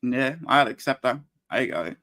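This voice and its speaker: A man hosting a casual football podcast, talking in a relaxed, hesitant way that often trails off quietly. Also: mid-range voice, moderate pace, crowd noise. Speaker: podcast host.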